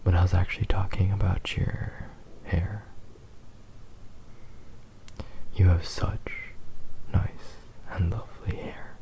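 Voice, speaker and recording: A man voicing a possessive, doting fox demon husband in an intimate ASMR roleplay, breathy and tender, with soothing, halting delivery. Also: low-pitched, flat delivery, poor audio. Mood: gently jealous, soothing. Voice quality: breathy, whispery.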